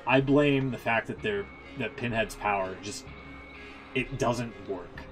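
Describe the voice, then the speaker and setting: A man's voice: mid-range voice, unhurried, over music. A man hosting a game stream with casual, opinionated, rambling commentary and some swearing.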